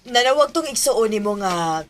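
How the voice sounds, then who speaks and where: A woman's voice: a little high, lively intonation, normal speed, lightly acted. An actress with a Filipino accent playing a kindly, motherly grandmother in a Bisaya radio drama. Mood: gently teasing. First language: Cebuano. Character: kindly grandmother, gently admonishing family matriarch.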